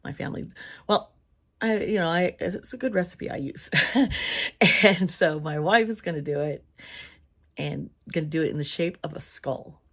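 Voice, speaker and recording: A woman with a somewhat low voice talks casually to her viewers, her voice hoarse and worn as if she is losing it. She rambles a little, restarts her sentences and laughs often between thoughts. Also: normal speed, pauses often. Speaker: tarot reader and channel host. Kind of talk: casual chat to camera.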